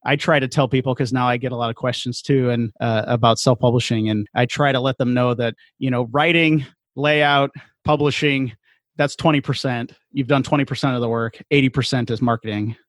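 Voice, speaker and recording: A man with a mid-pitched voice chats casually as a podcast host. He sounds agreeable and easygoing in conversation, recorded dry with no room sound. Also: fairly even intonation, brisk, no echo. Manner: agreeable, chuckles often. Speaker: podcast host, self-publishing game designer. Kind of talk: casual interview chat.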